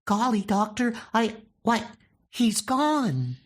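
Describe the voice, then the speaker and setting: A man voicing an excitable, awestruck nurse and bystanders in a comic book dub, with melodramatic exclamations. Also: high-pitched, takes it slowly, husky, voice pushed up, heavily acted. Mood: bewildered.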